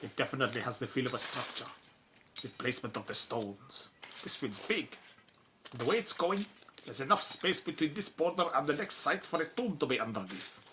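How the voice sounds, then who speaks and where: A man's voice: medium pitch, big pitch swings, fairly quick, noisy background, natural voice. A man playing a courteous but firm archaeologist in a radio drama. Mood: friendly, thoughtful.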